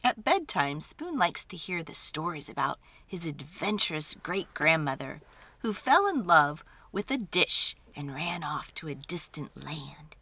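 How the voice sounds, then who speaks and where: A woman's voice: on the low side, bright, forceful tone, slightly rough, no room sound. A woman storyteller reading a picture book aloud as dramatic narration for children.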